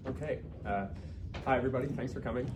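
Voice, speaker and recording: Fast-talking man giving an informal technical talk. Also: mid-range voice. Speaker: guest speaker, researcher. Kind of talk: informal technical lecture to colleagues. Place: talk to a small in-house audience. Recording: faint background noise.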